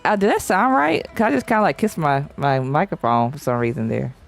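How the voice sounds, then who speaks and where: A woman's voice: deep voice, expressive, fairly quick, vocal fry, faint music behind. A young woman streaming a game, chatting casually and reading chat aloud in slangy, playful talk. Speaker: VTuber streamer. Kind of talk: casual live-stream chat while gaming.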